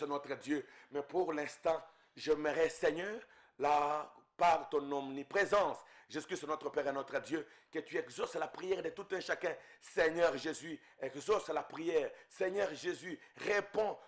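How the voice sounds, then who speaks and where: A man from Congo prays with fervour, leading the faithful as a preacher. His fairly high voice swells on each request, then drops low and quiet on the repeated invocations. The rhythm of his calls rises and falls in waves. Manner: fervent, repeating invocations, voice rising and falling in waves. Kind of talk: intense spoken prayer.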